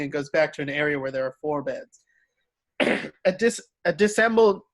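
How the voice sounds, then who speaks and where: A man's voice: fairly high pitch, a bit bright. A man narrating screenplay stage directions in an amateur table read, sometimes stumbling and rereading. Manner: stumbles over words and rereads.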